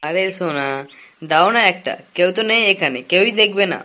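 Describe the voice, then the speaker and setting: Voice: mid-range voice, expressive, brisk, sharp tone, a little hiss or hum. Someone voicing a broke young guy in a cartoon, whiny crude boyfriend, begs and repeats himself. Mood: coaxing. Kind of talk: comic cartoon dialogue.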